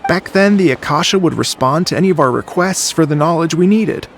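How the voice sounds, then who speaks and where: A man's voice: fairly high pitch, lively intonation, brisk, slight background noise. A man voicing an easygoing young male researcher in a game, chatty but hesitant and stammering. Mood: explaining. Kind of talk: game voice acting.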